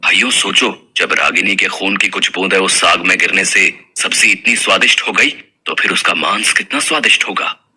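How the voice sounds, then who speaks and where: A man's voice: sits low, moderate pace, pushed and bright, slightly rough, heavily acted. A man playing a hearty, bossy village brother in a folk tale, emphatic and theatrical. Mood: scheming.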